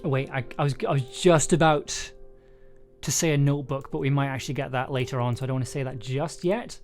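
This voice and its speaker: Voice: medium pitch, steady tone, fast talker, darkish tone. A streamer thinking aloud casually while writing a story live, in a playful, musing, giggly manner. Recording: music behind the voice. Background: music and computer keyboard typing.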